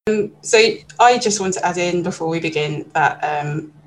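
A woman's voice: fairly low pitch, normal speed, poor audio. A woman moderating a panel discussion, putting questions to guests and chatting with frequent ums.

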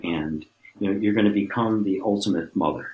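Older-ish guy with a low voice, meditation teacher type explaining stuff in an interview, restarts his sentences a bit. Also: a little fast, dull audio. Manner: reflective, explanatory, with repeated self-restarts. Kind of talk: conversational explanation of personal-growth teaching.

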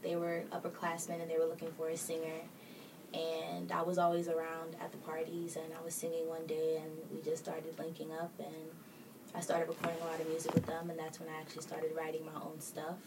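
A young woman from Kenya, a singer-songwriter, telling her story as a podcast guest in relaxed, unhurried chat. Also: sits low. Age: 20s. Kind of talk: casual interview chat. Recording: distant mic with clear background noise.

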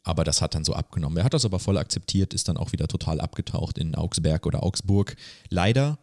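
Male podcast co-host with a low, deep voice, chatting casually and informally. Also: lively intonation, fast, a little mellow. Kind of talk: casual chat.

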